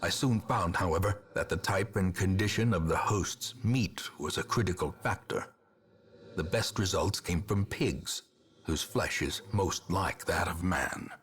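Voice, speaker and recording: An actor playing a grave old male ancestor who narrates a dark game in a solemn, measured, ominous way. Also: low voice, breathy edge, heavily acted. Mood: brooding. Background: game music.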